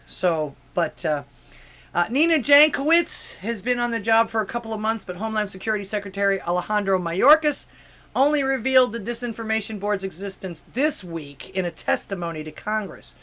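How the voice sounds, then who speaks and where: A live call-in show host from Miami, riffing on the news in a sarcastic, ranting way. The voice sits at a middling pitch. The delivery trips over itself with restarts and fillers, and questions keep landing as jabs. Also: bright, forceful tone. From Miami, Florida, USA. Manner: stumbling restarts and many fillers. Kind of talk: political commentary, reading news and chat messages aloud.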